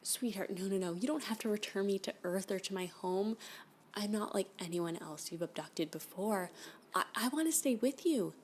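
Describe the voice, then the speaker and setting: A woman playing a flirty, clingy alien abductee in an ASMR roleplay, gushing and halting, often dropping to a whisper. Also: medium pitch, a little mellow, close to an everyday voice. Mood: pleading, alarmed. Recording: background noise.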